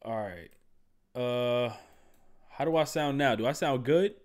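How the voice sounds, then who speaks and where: A man's voice: mid-range voice, measured pace. A man live streaming from his desk mic, ranting to his chat in a loose, profane, rambling way. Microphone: streaming microphone at a desk.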